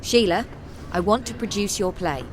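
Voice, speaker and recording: An actress playing a brisk, decisive female theatre director in a radio drama. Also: medium pitch, noisy background, lightly acted. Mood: earnest, persuasive. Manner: commanding, decisive.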